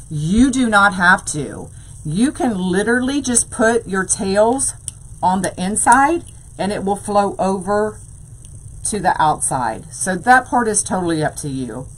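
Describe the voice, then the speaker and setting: A woman's voice: mid-range voice, poor audio. A woman, chatting through a live wreath-making demonstration and answering viewers as she works. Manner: chatty, says "y'all", answers live chat. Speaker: wreath-making tutorial host. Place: craft room full of ribbon shelves.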